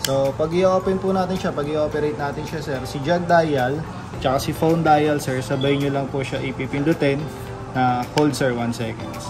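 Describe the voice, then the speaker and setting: Higher-voiced Filipino man demonstrating, music behind. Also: steady tone, normal speed. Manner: polite and deferential, step-by-step explaining. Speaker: motorcycle gear shop staff demonstrating a product. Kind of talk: informal product demonstration.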